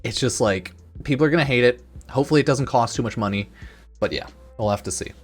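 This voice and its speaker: A man's voice: mid-range voice, quick pace, husky. A man giving casual, rambling opinion commentary as a gaming content creator, informal and sweary, sometimes reading comments aloud. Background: music in the background.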